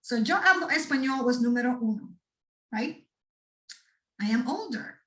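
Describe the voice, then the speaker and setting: Lady, middle-aged, pumping up students, kinda high voice, echoey and far from the mic. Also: moderate pace. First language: Spanish. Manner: animated, addresses the audience directly with frequent "right?". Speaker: speaker at a student workshop. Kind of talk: motivational talk to students.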